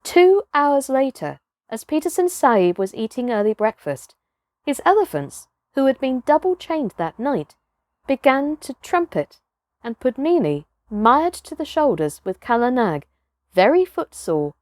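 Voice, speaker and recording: A woman's voice: fairly high pitch, sing-song, unhurried, a little mellow, dry recording. A woman reading a children's story as a dramatic narrator, with theatrical, emphatic phrasing.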